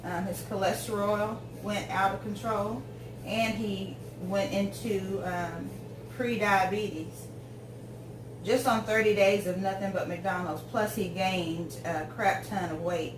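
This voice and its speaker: A woman's voice: medium pitch, steady tone, slow, distant mic, noisy background. Chatty fortysomething woman cooking in an echoey kitchen. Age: 40s. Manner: rambling, talkative, many ums and tangents. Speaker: home cook vlogger. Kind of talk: casual chat while cooking. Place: home kitchen.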